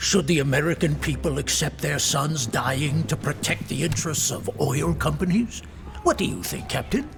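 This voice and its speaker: An actress playing a sharp-tongued young female war reporter in a video game cutscene, pointed and inquisitive. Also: low-pitched, animated, moderate pace, creaky. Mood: sardonic, probing. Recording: music behind the voice.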